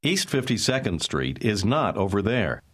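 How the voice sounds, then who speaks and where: Male language-course speaker with lively pitch movement, reading short model phrases in a husky voice. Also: measured pace. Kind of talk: scripted example phrases for repetition.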